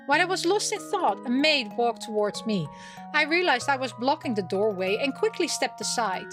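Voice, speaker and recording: A woman with a fairly high voice hosts a visual novel playthrough. She reads the story text aloud and adds casual remarks. Soft music plays behind her. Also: sing-song, moderate pace. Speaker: gaming channel host playing a visual novel. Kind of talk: reading game text aloud with casual commentary.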